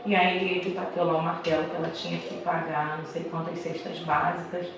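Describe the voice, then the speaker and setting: A woman's voice: on the low side, level tone, brisk. A middle-aged Brazilian woman, a schoolteacher speaking conversationally as an interview guest and telling personal stories. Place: echoey indoor room, far from the mic. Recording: echoey room, distant mic, noisy background.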